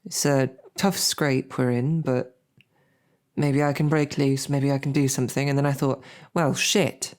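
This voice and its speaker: An actress playing a smitten lowborn royal guard in an audio roleplay, with a halting, self-deprecating, earnest way of speaking. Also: female voice, fairly even intonation, a little mellow. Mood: wry, animated. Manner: halting, self-deprecating, colloquial tag questions. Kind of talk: scripted audio roleplay, halting heartfelt banquet speech.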